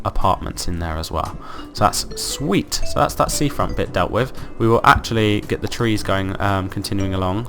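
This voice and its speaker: A man with a croaky voice from being ill, hosting casual gameplay commentary and thinking aloud in an upbeat, rambling way. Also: deep voice, fairly bright tone. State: croaky from illness. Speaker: let's play host.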